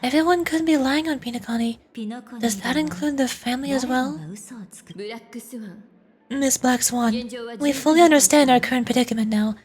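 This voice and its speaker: A woman voicing a composed, shrewd female leader in a video game, speaking in an analytical, deliberate and polite way. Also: high-pitched, darkish tone, breathy edge. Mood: serious, wary. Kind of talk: live read-aloud of video game dialogue.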